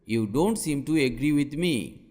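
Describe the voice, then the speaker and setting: A man teaching English to beginners, reading each short sentence twice, slowly and clearly. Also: on the high side, expressive, darkish tone, big-room sound. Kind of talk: slow repeated phrase drill for learners.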